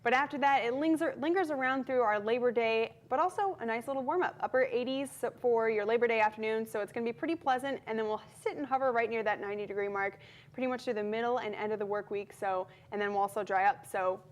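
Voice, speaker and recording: Fast-talking woman giving a weather forecast. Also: on the high side. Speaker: weather presenter.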